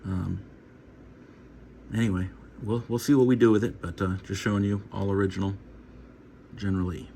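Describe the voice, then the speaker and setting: A man's voice: lowish voice, little pitch movement, moderate pace, creaky, pauses often, mellow. A man giving a casual, unscripted hands-on video demonstration, plain-spoken and hesitant, in short trailing phrases. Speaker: hobbyist presenter of a radio repair video. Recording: noticeable background hum and noise.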